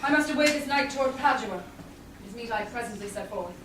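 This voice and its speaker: A woman's voice: on the high side, animated, fairly quick, lightly acted. A woman playing a composed, clever lawyer in disguise in a Shakespearean stage reading. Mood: gracious. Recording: distant echoey small theatre, background noise.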